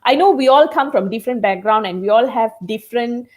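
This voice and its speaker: A woman's voice: on the high side, animated, brisk. A woman whose first language is Tamil, speaking as a communication trainer in a live online chat, explaining tips and often checking in with "okay?" and "all right?". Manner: instructive, peppers speech with "all right?" and "okay?".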